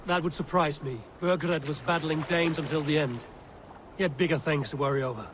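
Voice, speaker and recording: A man's voice: a little high, steady tone, brisk, background noise, close to an everyday voice. A man playing a blunt, practical adult Viking warrior in a video game. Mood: questioning, skeptical. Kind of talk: video game cutscene acting.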